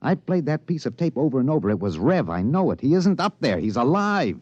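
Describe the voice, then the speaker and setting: A man playing an excited, obsessed radio reporter with an American accent, stammering and emphatic in 1950s radio drama style. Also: mid-range voice, a little fast, dry recording. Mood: urgent, insistent. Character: excited radio newsman, agitated, obsessed with breaking a cover-up. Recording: dull vintage radio recording.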